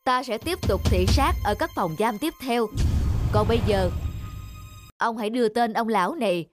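A woman plays a stern, middle-aged male governor in a cartoon. The delivery is formal and measured, on the high side in pitch. Music plays clearly underneath. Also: close to an everyday voice. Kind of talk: scripted cartoon dub.

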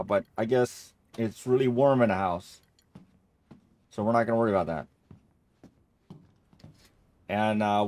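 A man's voice: mid-range voice, no echo. A middle-aged man giving casual, rambling, easily amused commentary as he plays a game. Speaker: gamer playing through a game.

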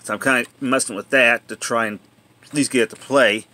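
A man's voice: mid-range voice, fairly even intonation, brisk, bright, forceful tone. Plainspoken man thinking aloud. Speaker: hobbyist showing a tape machine repair. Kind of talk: casual handheld video commentary. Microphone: handheld phone or camera.

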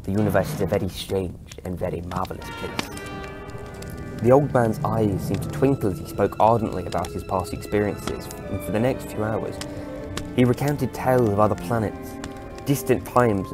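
Guy narrating an audio story, lowish voice, music in the background.

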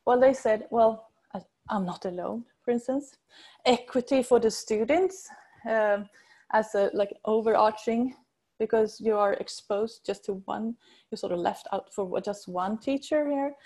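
Husky enthusiastic woman with Swedish accent. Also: a little high, a little mellow. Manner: enthusiastic, halting, searching for words. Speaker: researcher presenting. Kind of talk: conference presentation. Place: indoors at home or office, on video call.

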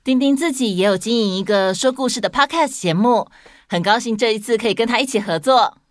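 A woman's voice: fairly high pitch, fairly quick, no room sound, clean studio sound. A woman hosting a children's story podcast, chatting to young listeners.

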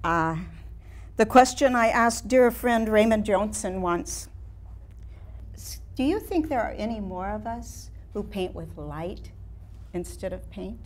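Woman acting frail old lady painter, wistful stage monologue, really slow and poetic. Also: mid-range voice, animated. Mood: reverent, then reminiscing. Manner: slow, deliberate, poetic delivery with pauses. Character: frail, wistful woman artist in her late seventies. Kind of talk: theatrical one-woman staged reading. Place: room of a house, seated before an audience.

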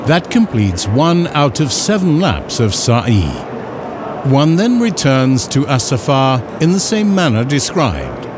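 A man reading scripted instructional narration in a measured, formal, carefully enunciated way. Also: medium pitch, very animated, background noise. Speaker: narrator of an educational film.

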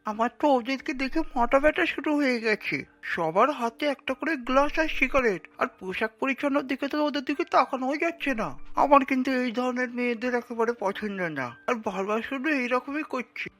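A man's voice: extremely high pitch, expressive, normal speed, a bit bright, heavily acted. A man voicing a dutiful, earnest young adult son in a cartoon. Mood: disapproving.

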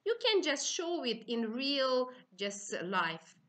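A middle-aged woman with an Uzbek accent, lecturing to trainee teachers in simple, halting, instructive phrases. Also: high-pitched, sing-song, a bit bright. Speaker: university teaching-methods lecturer.

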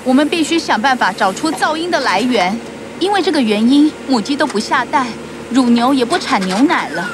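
A woman's voice: sits high, expressive, normal speed, noisy background. A woman voicing a concerned, caring but firm mother goat in a children's cartoon. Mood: worried.

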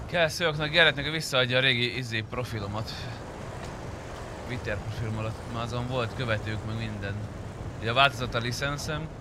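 A man with a medium-pitched voice talks casually to his stream audience. Noticeable city and vehicle ambience runs behind his voice. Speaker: game streamer. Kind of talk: casual livestream chat with viewers. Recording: noisy, vehicle and street sounds behind.

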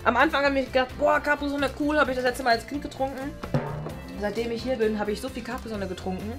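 Fast-talking woman with a highish voice. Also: fairly even intonation. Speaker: visitor at a mock fair. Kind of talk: casual chat. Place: cluttered indoor studio kitchen. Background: loud music.

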